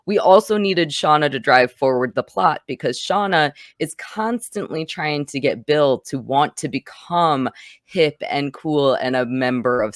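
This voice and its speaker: An American woman speaks as a podcast host in a casual film discussion. Her pitch moves around in an animated way, and the sound is dry and close, with very little room. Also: no room sound. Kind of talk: casual film review conversation.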